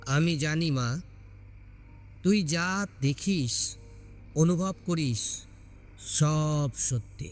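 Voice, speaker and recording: A man's voice: fairly high pitch, extremely slow, long pauses, soft background music. A man acts out a solemn wandering holy man in an audio story. He is resolute and all-knowing, speaking firmly and with portent, like a protector giving a warning. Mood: slow, knowing.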